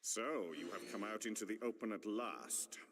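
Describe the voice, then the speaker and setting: A man's voice: on the low side, normal speed, lightly acted. An actor playing a sinister old necromancer possessed by an ancient evil in a video game, ominous and gloating, with a whispering edge to his voice. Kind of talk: dramatic video game acting. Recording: clear background noise and ambience.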